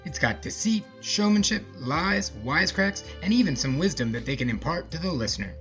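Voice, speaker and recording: A man's voice: on the high side, animated, fairly quick, a bit bright. A man hosting a podcast and telling a scripted story in a casual narrator's style. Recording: slightly muffled, music behind. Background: music.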